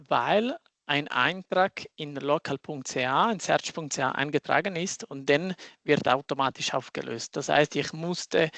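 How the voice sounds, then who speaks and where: Non-native German-speaking man, gravelly. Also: fairly high pitch, pushed and bright, no room sound. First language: not German. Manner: halting non-native German with grammar slips. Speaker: social engineer presenter. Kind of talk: live demo in an online talk.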